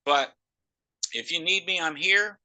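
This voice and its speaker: Man, emphatic salesman, very forceful and bright, noise-cancelling mic. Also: high voice, a little slow. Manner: loud, emphatic and excitable. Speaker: host of a sales call. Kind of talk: live sales pitch on a video call. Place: video call. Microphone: noise-cancelling microphone.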